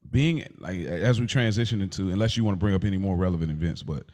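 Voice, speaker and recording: A man's voice: deep voice, lively intonation, fast talker, a little mellow. A man co-hosting a podcast in casual conversation, slangy and roundabout as he thinks aloud. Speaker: podcast co-host.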